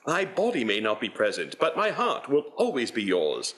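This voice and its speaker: A man's voice: mid-range voice, huge pitch swings, normal speed, fairly bright tone. A man playing a young, pleading, defensive suitor in a radio play, with formal period phrasing. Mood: hurt, earnest.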